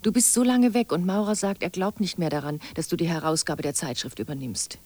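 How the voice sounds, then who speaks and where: Actress as a husky, low-voiced reproachful wife. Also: female voice, fairly quick, a little mellow, no echo, close to an everyday voice. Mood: hurt, pressing. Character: disappointed, resolute wife writing to her husband. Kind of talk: radio drama letter monologue.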